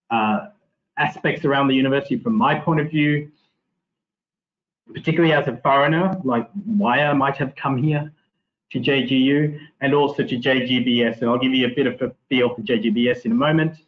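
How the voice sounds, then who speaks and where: A middle-aged Australian man, a marketing professor giving a webinar lecture in a relaxed, chatty way with many fillers and restarts. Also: medium pitch. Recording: tinny phone-like online audio.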